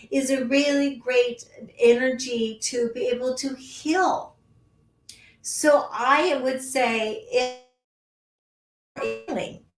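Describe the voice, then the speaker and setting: A high-pitched woman hosting a livestream in an echoey room. She talks in a loose, chatty way with plenty of ums and answers viewer comments. Her voice is wearing thin after a long broadcast. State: losing her voice. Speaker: astrology livestream host. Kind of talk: casual livestream talk.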